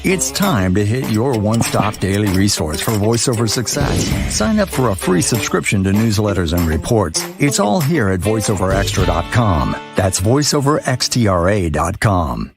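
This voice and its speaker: A man's voice: on the low side, sing-song, moderate pace, hardly any pauses. A man reading a punchy scripted promo spot. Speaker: ad announcer. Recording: announcer over background music.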